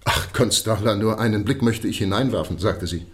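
A man's voice: lowish voice, a little fast, lightly acted. An actor playing a deferential, sheepish police constable in a radio drama, respectful and plain-spoken as he explains himself. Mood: respectful, earnest.

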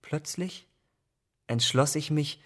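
A man acting a troubled, impulsive young English gentleman in a German radio drama. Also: a little high, slow. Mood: confessional.

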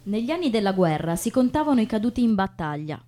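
A woman giving a scripted theatrical reading as a narrator, in a grave, deliberate manner. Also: mid-range voice, sing-song, mellow. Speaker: stage narrator and reader. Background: acoustic guitar music.

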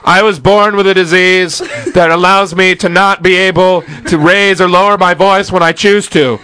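A young man hosting a college radio talk show in a studio, loud and full of jokes at his own expense. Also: sits high, fairly even intonation, moderate pace, rough recording. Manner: loud, joking, self-mocking. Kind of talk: casual studio banter.

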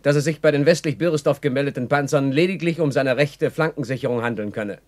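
A man acting a dutiful lieutenant in a 1960s radio drama. His voice sits at a middle pitch, and he answers superiors and relays reports in formal, obedient military phrasing. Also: fast, natural voice. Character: dutiful subordinate army lieutenant relaying messages.

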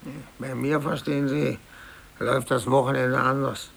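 A man's voice: mid-range voice, flat delivery, moderate pace, vocal fry. An actor playing a grumpy, fussy older male office clerk in a 1950s German radio play, grumbling pedantically and muttering his complaints. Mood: indignant.

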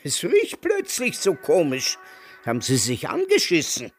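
Embittered old man, radio drama, menacing sarcasm, slow delivery, wide emphatic swings. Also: a little high, huge pitch swings, soft background music. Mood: threatening anger. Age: older. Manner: biting, sarcastic, theatrically emphatic. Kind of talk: German radio drama acting.

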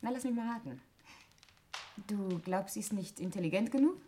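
A composed, shrewd sister with a mid-range voice, played by a radio actress, probing and teasing with dry irony. It is 1960s German radio drama, with slight noise on the recording. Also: female voice, mid-range voice, lively intonation, moderate pace, warm tone, lightly acted. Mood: knowing, curious. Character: cool, teasing, shrewd young sister in murder mystery.

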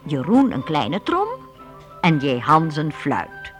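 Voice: mid-range voice, big pitch swings, slow, faint music behind. A storyteller narrating a radio play for young people, addressing listeners directly and expressively.

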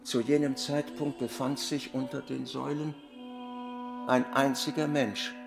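Reverberant and set over clear music, a man narrates a literary radio drama. His pace is very slow and dramatic, and his fairly high male voice has a creaky grain. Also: little pitch movement.

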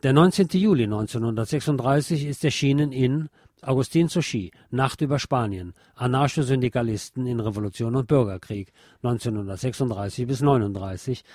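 A man narrates a scripted historical programme. His voice sits at a middle pitch, and the recording is dry, with hardly any room sound. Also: a little mellow, dry recording. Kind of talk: scripted documentary narration, reading a historical text.

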